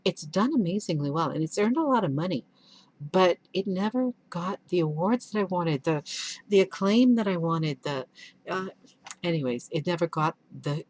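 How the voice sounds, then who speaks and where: Low husky woman, candid and earnest. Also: rough recording. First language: Urdu. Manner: candid and earnest, with frequent restarts and self-corrections. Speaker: children's author. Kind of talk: reflective vlog answering questions.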